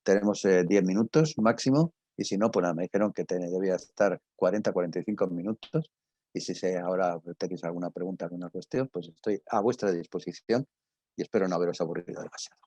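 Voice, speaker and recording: A man's voice: low-pitched, quick pace, warm tone, dry recording. An older man with a Castilian Spanish accent giving an informal lecture, conversational and digressive, telling anecdotes and addressing his listeners directly. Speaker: lecturer, radio drama teacher.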